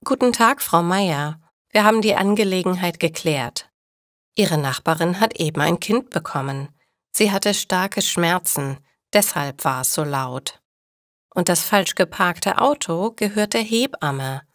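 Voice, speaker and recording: A woman's voice: lowish voice, animated, unhurried, a bit bright, no echo, studio quality. A woman voicing a polite police officer in a story, courteous, official and reassuring.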